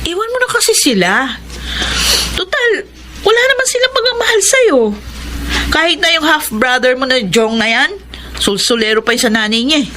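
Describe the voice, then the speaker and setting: Caring young woman friend, highish voice, insistent, sympathetic, radio drama, faint background noise. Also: animated, normal speed, bright, forceful tone. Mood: urging, indignant. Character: caring, outspoken young female friend urging escape. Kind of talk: Filipino radio drama acting.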